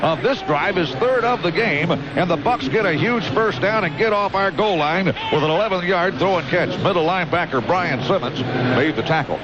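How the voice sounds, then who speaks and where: A man's voice: high voice, lively intonation, normal speed. An American man calling live football play-by-play on the radio in punchy, clipped phrases. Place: outdoor stadium broadcast booth. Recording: dull radio broadcast, loud stadium noise. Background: loud stadium ambience.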